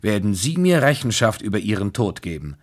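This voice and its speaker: A man reading an audiobook voices a reserved English gentleman who speaks evenly, as if reading from a book. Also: lowish voice, sing-song, a little fast. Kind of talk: audiobook character voice.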